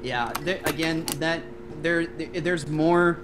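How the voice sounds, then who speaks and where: A man's voice: fairly high pitch, takes it slowly, noisy background. Man rambling with lots of fillers. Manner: rambling, many fillers and restarts. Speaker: guest voice actor and director. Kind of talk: casual podcast chat.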